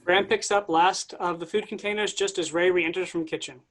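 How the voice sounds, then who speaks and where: A reader giving the stage directions of a play in a plain, even way. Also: medium pitch, a little fast. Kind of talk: reading stage directions aloud.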